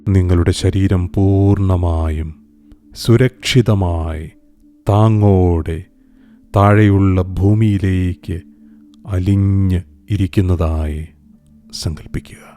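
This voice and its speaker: Deep, slow, mellow man. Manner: calm, soothing, gentle, with deliberate pauses. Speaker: meditation guide. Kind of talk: scripted guided meditation. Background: music.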